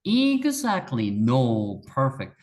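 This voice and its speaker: A native Korean speaker teaching in English with a clear Korean accent. The voice rises and falls a lot as they explain. They are patient and encouraging, and they often say things twice for emphasis. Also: mid-range voice, huge pitch swings, takes it slowly, darkish tone. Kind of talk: one-on-one language lesson.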